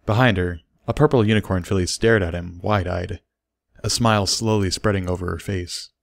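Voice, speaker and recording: Guy reading a story out loud, lowish voice, really dry close sound. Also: moderate pace, creaky, no echo. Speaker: story reader. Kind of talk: scripted story narration.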